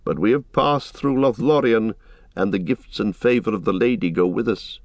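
A man voicing a noble, resolute ranger and royal heir in a fantasy audiobook, with measured, lofty, commanding speech. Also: lowish voice, animated, dry recording, voice pushed up. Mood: calm. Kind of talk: dramatic audiobook fantasy reading.

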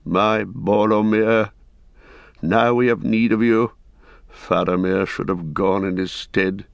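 A man's voice: fairly low pitch, fairly even intonation, slow pace, vocal fry, no room sound, dull audio. A man reading the part of a proud, bitter old lord who speaks in a cold, grave way. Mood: grim.

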